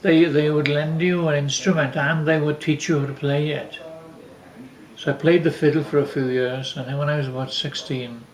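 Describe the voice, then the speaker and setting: An older man casually telling family stories in a halting, rambling way. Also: a little high, fairly even intonation, echoey room, rough recording. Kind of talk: casual reminiscing.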